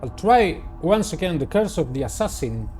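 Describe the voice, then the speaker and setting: Higher-voiced theatrical man over music. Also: very animated, moderate pace, darkish tone. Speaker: host of a gaming channel, narrator. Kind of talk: playthrough commentary and read-aloud visual novel narration.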